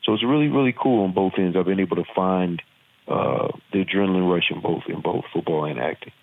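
A man with a Southern accent answers interview questions in an earnest, reflective way, pausing often on fillers. His voice sits very low. The sound is thin and phone-like, as if over a remote call. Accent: Southern American. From Virginia. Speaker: actor and former football player. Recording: tinny phone-like line.

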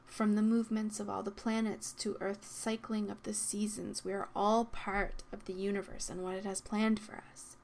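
A young woman hosting her own podcast, talking in a soft, reflective way with frequent ums and likes, her voice slightly worn. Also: medium pitch, little pitch movement, normal speed, creaky, hardly any pauses, a little hiss or hum. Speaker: podcast host. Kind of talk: solo podcast monologue. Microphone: podcast microphone.

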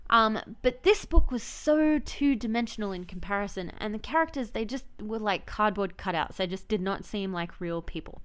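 A woman's voice: mid-range voice, animated, normal speed, hardly any pauses, a bit bright, smooth. A woman hosting a podcast, giving a prepared but conversational review. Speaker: podcast host. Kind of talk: prepared spoken book review.

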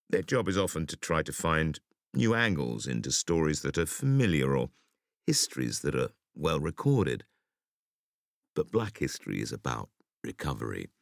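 A man reading a conversational essay for an audiobook in a deliberate, expressive storytelling manner. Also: rumbling low, no echo, clean studio sound. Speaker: audiobook reader.

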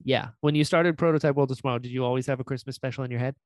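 A man's voice: mid-range voice, fairly even intonation, racing, darkish tone, no room sound, clean studio sound. A man hosting a casual interview, talking in an enthusiastic, gushing way and teasing playfully. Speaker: podcast host and voice actor.

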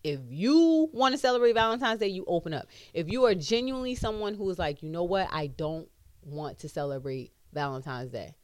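A woman's voice: fairly low pitch, expressive, brisk, darkish tone, no room sound, studio quality. Emphatic American woman in her thirties. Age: 30s. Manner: emphatic, slangy, profane. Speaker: podcast co-host. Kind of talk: casual opinionated chat.

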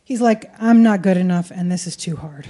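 Mellow woman preaching. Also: fairly low pitch, normal speed. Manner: conversational, colloquial. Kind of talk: sermon delivered in short phrases for live interpretation.

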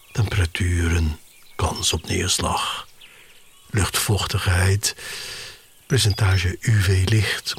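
A man narrating an audio drama in the first person, in a measured, expressive way with pauses between short phrases. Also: deep voice, slight background noise.

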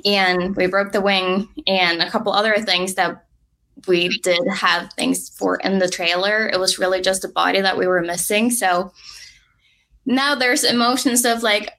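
Bright-voiced Norwegian woman racer. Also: mid-range voice, unhurried, rough recording. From Norway. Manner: lively, fillers of "like", laughs easily. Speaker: drag racing driver guest. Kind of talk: animated storytelling interview.

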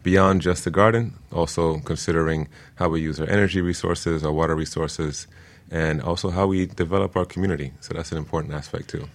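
A man speaking as an interview guest, explaining things in a casual, measured way. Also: low-pitched, steady tone. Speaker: guest, permaculture instructor.

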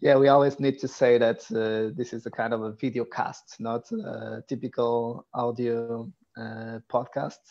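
A man hosting a live video podcast over a video call, introducing his guest with a halting, hesitant delivery. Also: mid-range voice, level tone, vocal fry, darkish tone, highs cut off. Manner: halting, many ums and restarts. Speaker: podcast host.

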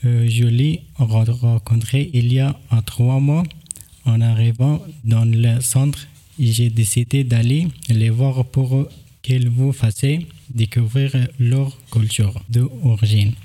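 Dark-voiced Afghan man narrating carefully. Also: medium pitch, steady tone. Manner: careful learner's French with pauses and fillers. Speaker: host presenting his neighbours. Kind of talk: narrated documentary vlog.

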